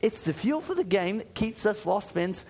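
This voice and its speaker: A man's voice: animated, normal speed, gravelly, a little mellow. A man putting on a wobbly mock-British fan voice. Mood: earnest. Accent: mock British drifting into Australian. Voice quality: exaggerated, over-pitched reading. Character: young British woman fan writing in.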